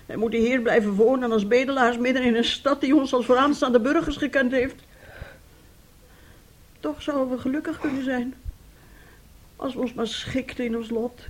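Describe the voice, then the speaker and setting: A woman's voice: high-pitched, fairly even intonation, normal speed, pauses often. An actress playing an embittered, despairing middle-aged mother in a Dutch radio play, speaking in a dramatic, weary, confiding manner. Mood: weary, confessing.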